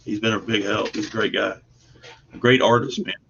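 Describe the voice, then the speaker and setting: A man's voice: on the low side, steady tone, a little fast, vocal fry, a bit bright, slight background noise. A man chatting casually as an interview guest about his creative work, earnest, modest and plain-spoken in a folksy way. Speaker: guest, comic book artist and writer. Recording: dull lo-fi online call audio.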